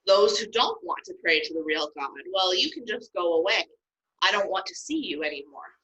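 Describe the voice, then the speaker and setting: A woman's voice: medium pitch, sing-song, normal speed, pushed and bright, highs cut off, a little hiss or hum. A woman voicing Moses as an earnest, stern male leader in a children's Bible story. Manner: pleading then stern.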